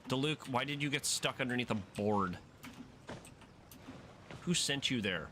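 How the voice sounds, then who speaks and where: A man's voice: mid-range voice, animated, a little slow, pushed and bright, slight background noise. Casual male game streamer. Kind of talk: casual live gameplay commentary. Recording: game music behind the voice.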